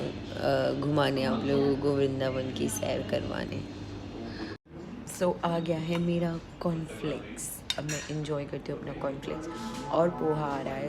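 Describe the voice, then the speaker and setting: A woman's voice: lowish voice, vocal fry, slight background noise. A young woman travel vlogger talking casually to her phone camera, slipping English phrases into her Hindi. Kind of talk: casual vlog chat to camera.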